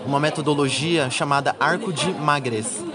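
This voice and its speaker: A man's voice: on the high side, normal speed. Young Brazilian man chatting at a crowded event. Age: young adult. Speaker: university student interviewee. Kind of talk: casual chat. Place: university congress with crowd. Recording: busy event crowd behind the voice.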